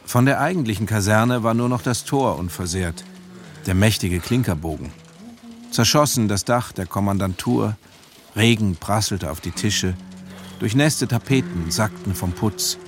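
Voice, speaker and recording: Ein Schauspieler als sachlicher Erzähler einer literarischen Kriegsgeschichte im Hörspiel, gemessen und nüchtern vorgetragen. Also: male voice, lowish voice, a little hiss or hum. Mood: sober. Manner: measured, sober. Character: sober male narrator of a war story. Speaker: narrator. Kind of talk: literary scripted narration. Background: music.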